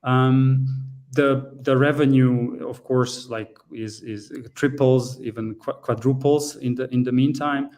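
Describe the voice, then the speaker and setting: A man's voice: mid-range voice, steady tone, slow pace, a little mellow. Hesitant man from Serbia presenting. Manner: hesitant, many fillers and restarts. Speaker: guest speaker, frontend engineer. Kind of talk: conference talk presentation.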